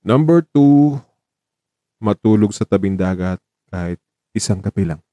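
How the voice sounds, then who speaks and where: A man acts out a dying young man in a Filipino radio drama. His voice is low, dark and very soft-edged, recorded dry and close. He speaks tenderly and wistfully to the man he loves, with a teasing gentleness. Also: lively intonation, measured pace, dry recording. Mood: wistful, playful.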